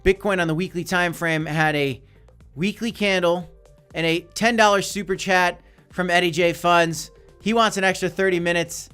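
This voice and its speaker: A man hosting a casual crypto live stream, chatty and hyped, calling out viewers by name, his voice going hoarse. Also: high-pitched, fairly even intonation, normal speed, fairly bright tone, faint music behind. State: losing his voice.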